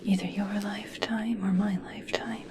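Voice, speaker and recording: A woman playing an awestruck, obsessed scientist in a whispered ASMR roleplay, speaking in a hushed, halting, gently fascinated way. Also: medium pitch, flat delivery, crowd noise, natural voice. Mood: fascinated, gentle. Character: obsessed, awestruck female scientist studying merpeople.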